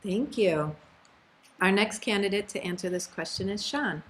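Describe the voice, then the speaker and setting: Brief: female moderator, medium pitch, introducing speakers over faint room noise. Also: animated, normal speed. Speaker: forum moderator. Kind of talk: moderating a candidate forum. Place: local TV studio. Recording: faint studio background noise.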